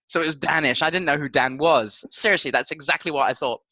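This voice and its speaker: A man hosting a football podcast with casual, excitable, jokey banter and a halting delivery. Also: fairly high pitch, no echo. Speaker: podcast host.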